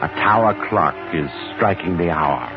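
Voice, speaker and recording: A man hosting and narrating a 1950s radio mystery in dramatic, deliberate storyteller phrasing. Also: low voice, lively intonation. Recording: muffled old radio recording with loud music.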